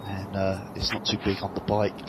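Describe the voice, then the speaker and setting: A man's voice: low-pitched, completely flat delivery, normal speed. A man giving a casual, rambling motorcycle review in a matter-of-fact way with dry humour, speaking into a helmet intercom mic. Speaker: motorcycle vlogger reviewing own bike. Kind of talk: casual rambling spoken review while riding. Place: outdoors on a motorbike and at a fuel stop. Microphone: helmet intercom microphone. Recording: very loud outdoor vehicle and wind noise.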